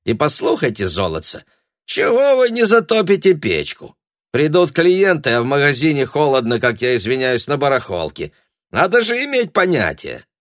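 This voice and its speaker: A man's voice: mid-range voice, sing-song, creaky, husky, dry recording, voice pushed up, exaggerated voice. Audiobook character, man playing agitated hustler shopkeeper, blustering, colloquial, very dry sound. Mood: irritated, dismissive. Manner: blustering, quick to shout, colloquial.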